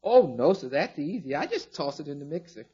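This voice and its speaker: A woman playing an obliging, excitable household cook in an old radio comedy. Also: low voice, a little fast, slightly rough, exaggerated voice. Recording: muffled vintage radio recording.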